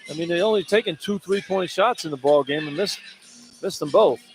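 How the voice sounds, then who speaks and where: A man's voice: fairly high pitch, fairly quick, over music. A man working as a radio color commentator, talking through basketball tactics in an informal, colloquial way from courtside. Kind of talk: conversational basketball analysis. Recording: live arena broadcast, clear background noise. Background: arena ambience and noise.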